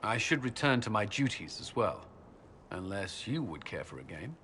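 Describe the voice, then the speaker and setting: An actor playing an earnest, dutiful military commander in a video game, reserved but friendly, with formal, courteous speech. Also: male voice, lowish voice, very animated, moderate pace, sharp tone, a little hiss or hum. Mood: wistful, relaxed. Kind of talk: video game cutscene acting.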